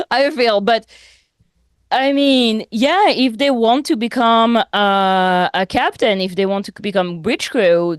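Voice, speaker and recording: A young adult woman whose first language is French, co-hosting a podcast in casual chat, animated, laughing often and restarting sentences. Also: medium pitch, no room sound, studio quality. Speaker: podcast co-host.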